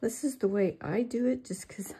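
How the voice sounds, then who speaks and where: Older woman, craft tutorial host, mellow voice, breathy, chatty and self-deprecating. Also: on the high side, normal speed. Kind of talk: step-by-step craft demonstration. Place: indoors at a craft table.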